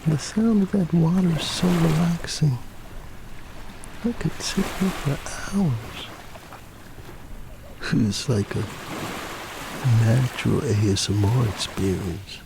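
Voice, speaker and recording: Man as a young woman hiker, breathy raised voice, gentle wonder, slow ASMR storybook delivery, birdsong and nature ambience. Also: a little high, noisy background, voice pushed up. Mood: soothed. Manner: soft, wondering. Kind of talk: ASMR bedtime story character dialogue.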